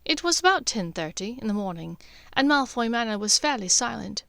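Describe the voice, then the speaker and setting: A woman's voice: a little high, very animated, a little fast, vocal fry, fairly bright tone, dry recording. A woman reading scripted story narration as an audiobook narrator.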